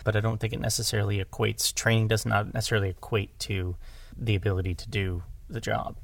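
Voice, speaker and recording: A man speaks casually in a low voice with noticeable creak, recorded dry. He discusses things as a podcast guest, restarting and repeating words as he works out what he means. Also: little pitch movement, fast talker, no room sound. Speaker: podcast guest, audio drama director.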